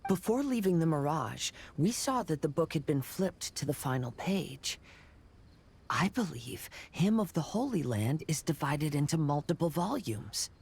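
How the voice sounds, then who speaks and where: A woman voicing a calm, courteous and thoughtful young adventurer in a video game, polite and measured. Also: deep voice, breathy edge, heavily acted.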